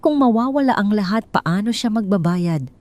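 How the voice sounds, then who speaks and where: A woman's voice: medium pitch, expressive, normal speed, a little mellow, no echo. A woman narrating a scripted audio drama story in a dramatic storytelling style.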